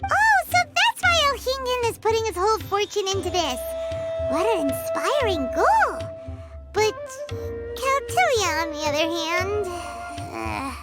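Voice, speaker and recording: A tiny, excitable fairy girl, chatters in a squeaky, very high voice. Her delivery swings in lively, chirpy exclamations for a video game, over prominent music. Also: unhurried, full character voice. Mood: opinionated. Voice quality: squeaky, childlike. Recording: music behind. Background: playful game music.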